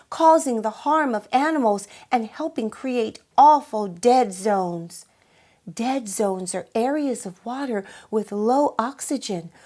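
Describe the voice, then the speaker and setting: A woman voicing an earnest mermaid who pleads for her oceans in a measured, dramatic rhyming picture-book read-aloud. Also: a little high, a little mellow.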